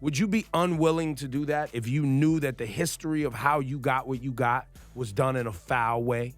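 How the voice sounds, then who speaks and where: A man's voice: medium pitch, normal speed, faint music behind. A man co-hosting a radio talk show, making earnest points and challenging the others with questions. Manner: earnest, argues by posing questions. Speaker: radio co-host.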